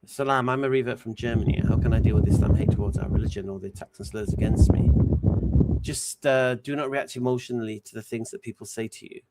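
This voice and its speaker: A man's voice: mid-range voice, fast talker. A man hosting a casual live stream, reading out viewer questions and answering them bluntly. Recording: steady background noise.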